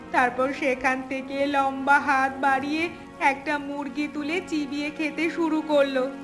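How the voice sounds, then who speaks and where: A woman's voice: high voice, fairly bright tone. A woman playing an anxious, pleading village wife in a cartoon ghost story, plaintive and dramatic.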